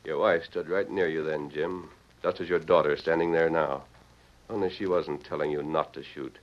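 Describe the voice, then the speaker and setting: An actor playing a steady, self-assured American hero in an old-time radio Western, speaking in a measured way. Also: male voice, very deep voice. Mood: firm, persuasive. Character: calm, steady Western hero posing as Easterner. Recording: dull lo-fi vintage radio recording.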